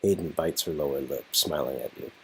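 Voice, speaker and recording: A man's voice: rumbling low, brisk, slight background noise, low-quality recording. A man narrating a romantic game story in scripted second-person prose.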